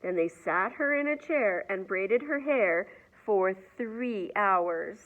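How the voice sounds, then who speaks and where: A woman reading a children's picture book aloud in an expressive storyteller's manner. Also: medium pitch, slow, phone-quality sound. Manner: expressive, asks the young listeners questions.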